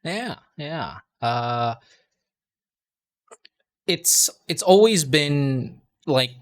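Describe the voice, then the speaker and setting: A man tutoring English in a casual conversation lesson, relaxed and encouraging. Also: a little high, drawn-out words. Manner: relaxed and encouraging, many "you know"s.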